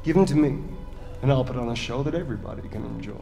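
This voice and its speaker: A man's voice: mid-range voice, quick pace, gravelly, music in the background, natural voice. A man playing a crude, mocking, sadistic showman villain in a video game, with sneering theatrical delivery. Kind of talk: video game cutscene acting.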